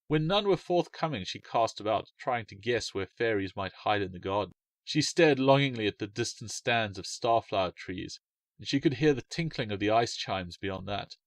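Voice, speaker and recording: A man calmly reading his own fantasy short story aloud. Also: fairly low pitch, dry recording. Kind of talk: storybook narration.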